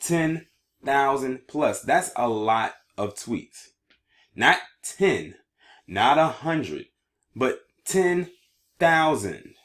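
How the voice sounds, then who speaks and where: A man's voice: mid-range voice, big pitch swings, very slow, fairly bright tone. A man presenting news commentary for an online video, reading articles aloud and adding his own remarks, sometimes stumbling over words.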